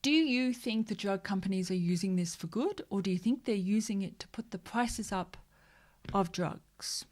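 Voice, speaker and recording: A woman hosting a social media news livestream. She talks casually, slips into joking rants, and mutters quiet asides to herself while she looks for things on screen. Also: mid-range voice, moderate pace.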